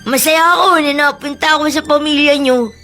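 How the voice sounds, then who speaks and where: High-voiced Filipino little boy, earnest. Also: steady tone, a little fast, sharp tone, faint music behind. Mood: wistful, sincere. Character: cheeky, tender-hearted adopted street boy, a child. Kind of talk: Filipino radio drama acting.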